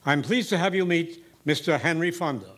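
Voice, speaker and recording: An actor playing a distinguished, courteous 1940s radio theater host, speaking in a formal, measured, earnest way. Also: male voice, fairly high pitch, heavy background noise, close to an everyday voice. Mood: dignified, earnest.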